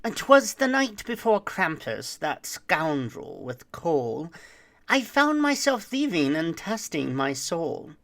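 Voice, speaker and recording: A performer as a roguish boastful thief, theatrical rhymed storybook tale, swooping pitch, unhurried. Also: big pitch swings, gravelly, fairly bright tone, full character voice. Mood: sly, excited. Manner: dramatic, deliberate delivery.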